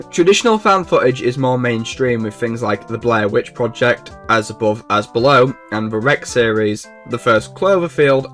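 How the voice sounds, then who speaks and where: A man's voice: medium pitch, fairly bright tone. A man hosting a video essay, reading informal scripted narration. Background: background music.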